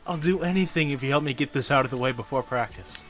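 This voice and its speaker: An actor playing a casual, good-natured teenage schoolboy in a naturalistic audio drama. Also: male voice, mid-range voice, fast talker, soft background music, lightly acted. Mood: pleading. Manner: casual, animated, pleading.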